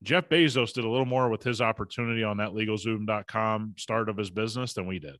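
A middle-aged man talks bluntly and emphatically on a podcast while running a fever. His voice sits low, and the recording is dry and close. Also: fast talker, fairly bright tone, no echo. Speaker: podcast co-host. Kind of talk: casual motivational talk.

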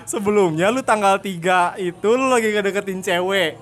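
A young man from Purwakarta, Indonesia, co-hosting a casual joking chat, telling stories in slangy, animated, giggle-prone fashion. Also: high voice, moderate pace. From Purwakarta, West Java, Indonesia. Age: young adult. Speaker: podcast host.

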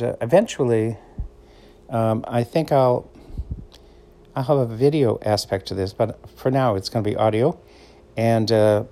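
A man's voice: on the low side, warm tone. A middle-aged man with a Boston accent, hosting a casual solo podcast in a meandering, conversational way. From Boston area, USA. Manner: meandering, conversational, easygoing storyteller. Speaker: podcast host.

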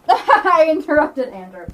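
A woman's voice: high voice, sing-song, measured pace, very noisy. A woman chatting casually as a podcast host and game master, with a giggly, playful manner. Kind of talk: casual chat and tabletop game narrating.

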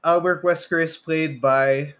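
Male podcast co-host, Filipino accent, slightly high voice, casual chatter, quick to chuckle. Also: fairly even intonation, fairly bright tone. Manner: chuckles often while talking. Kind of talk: casual chat about films and games.

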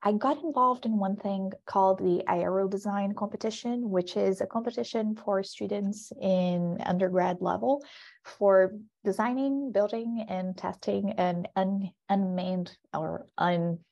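Mellow-voiced woman, chatty. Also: mid-range voice, measured pace, gravelly, dull audio. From Brazil. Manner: chatty, says "like" a lot, laughs easily. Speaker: guest, aerospace engineering professor. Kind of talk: casual interview answers.

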